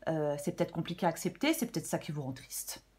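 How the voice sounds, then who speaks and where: A woman with a French accent, slightly hoarse, giving a casual tarot reading to viewers and thinking aloud with many fillers and restarts. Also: sits low, big pitch swings, fast, a little mellow. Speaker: tarot reader.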